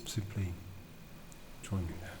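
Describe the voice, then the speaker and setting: A man playing an ancient, jaded, aristocratic vampire in a breathy, half-whispered ASMR roleplay, haughty and seductive with long deliberate pauses. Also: heavy background noise, natural voice. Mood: silky, commanding. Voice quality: breathy, often dropping into whisper.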